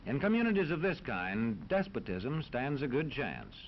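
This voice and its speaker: A man's voice: medium pitch, big pitch swings, normal speed. A man giving formal, didactic scripted narration in the style of a 1940s educational film. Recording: dull old film soundtrack, faint noise.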